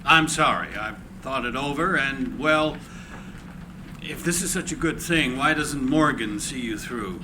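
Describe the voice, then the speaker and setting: A man's voice: mid-range voice, unhurried, close to an everyday voice. A man playing an apologetic, evasive businessman, polite but firm, in a dramatic screenplay reading. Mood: apologetic, dismissive. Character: evasive, apologetic male businessman brushing off a petitioner. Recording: noisy live room recording.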